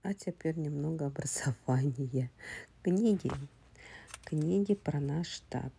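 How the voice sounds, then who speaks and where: A woman who is a little under the weather talks through a casual unboxing vlog. She talks quietly and chattily, with many fillers, and often drops into a breathy half-whisper. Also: low voice, slow. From Russian-speaking, living in the US. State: slightly ill, speaking softly. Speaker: vlogger. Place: home, indoors. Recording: loud background noise.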